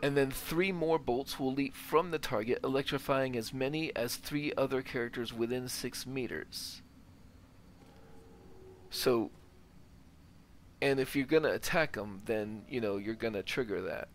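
A man's voice: medium pitch, measured pace, a little hiss or hum. A man giving casual, methodical gameplay commentary, thinking aloud and reading out stats. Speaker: gaming video host. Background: game ambience.

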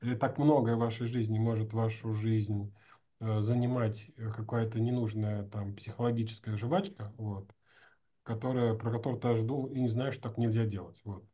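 A man around forty with a lowish, very dark and relaxed voice. He explains casually as a host, and the video-call audio sounds a little dull. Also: normal speed. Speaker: host of online meeting. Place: small indoor room at a desk.

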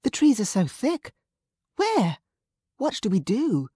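A narrator voicing an anxious young Highland woman held captive, nervous and breathless, pitched high. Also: big pitch swings, a little slow, dark tone, husky, dry recording, clean studio sound, full character voice.

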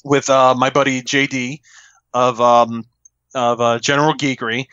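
Bright-voiced, loud man chatting on a podcast. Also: mid-range voice, level tone, normal speed, clean tone, no echo. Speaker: podcast guest. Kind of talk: casual chat, telling anecdotes. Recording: dull, lo-fi microphone.

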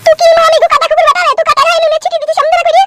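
A woman's voice: extremely high pitch, little pitch movement, very fast, fairly bright tone. A woman voicing an indignant young wife who snaps back in a comedy cartoon. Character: shrill quarrelsome young wife defending her mother. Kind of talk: amateur comedy cartoon dubbing.

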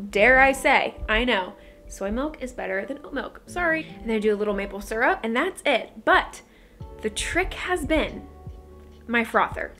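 A woman's voice: a little high, a bit bright. Bubbly young woman chatting to camera. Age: young adult. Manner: bubbly, fast, talks straight to camera. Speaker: lifestyle vlogger. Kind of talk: casual chatty vlog talk. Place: bright home kitchen and living room.

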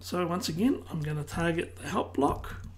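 Hoarse tutor walking thru a screen recording, slow and calm, clearing throat a lot. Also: medium pitch. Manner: calm step-by-step explaining. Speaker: web design tutor.

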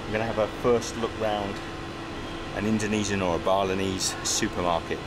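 A man's voice: fairly low pitch, steady tone, brisk. A man in his fifties chatting upbeat while vlogging his travels, filmed on a handheld camera on a sunny street and in a shop. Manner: chatty, upbeat, thinking aloud. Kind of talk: casual travel vlog. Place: outdoors on a sunny street and in a supermarket. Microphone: action camera held at arm's length. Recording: street noise behind.

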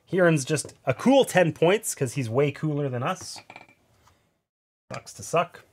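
A man's voice: a little high, animated, measured pace, darkish tone, breathy edge. Nasal man thinking aloud over a board game. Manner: thinks aloud, explaining each move with wry asides. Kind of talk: casual play-through commentary. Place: indoors at a gaming table.

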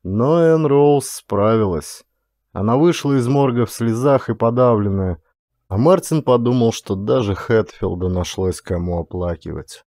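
A man's voice: mid-range voice, measured pace, a little mellow, dry recording, studio quality. A man reading an audiobook as dramatic scripted narration.

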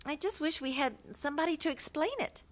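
A woman's voice: high voice, quick pace, loud music behind the voice, natural voice. A woman playing a rebellious, restless teenage girl in a radio drama, with a casual, defiant manner. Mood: earnest.

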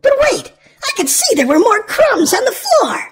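A woman hosting a children's read-aloud, narrating in an exaggerated, sing-song, expressive way for small children. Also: extremely high pitch, moderate pace, slight background noise. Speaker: host and reader of a children's read-aloud show. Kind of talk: animated children's storybook narration.

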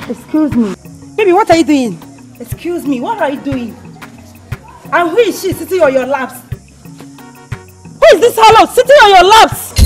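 An actress with a Nigerian accent playing an indignant, spoiled young woman in a comedy-drama, confrontational and repeating herself. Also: sits high. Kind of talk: screen comedy-drama acting.

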